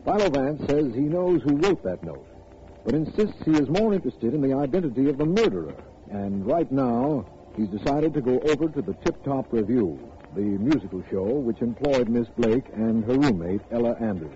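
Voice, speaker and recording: An actor in a 1950s radio drama playing a matter-of-fact district attorney who is brisk, formal and a little impatient. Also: male voice, medium pitch, expressive, mellow, close to an everyday voice. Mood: formal, narrating. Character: businesslike, impatient district attorney, the detective's sidekick. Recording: dull, faintly noisy old radio recording.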